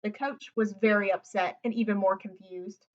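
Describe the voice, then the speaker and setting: A woman's voice: medium pitch, fairly even intonation, normal speed, highs cut off. A woman reading a children's picture book aloud expressively, breaking off for chatty asides to her listeners.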